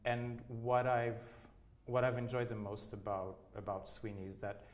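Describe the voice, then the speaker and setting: A man's voice: mid-range voice, little pitch movement, normal speed. A man in his thirties from Portugal, speaking English with a Portuguese accent, answering interview questions in a hesitant way with many restarts. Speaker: opera singer, interviewee.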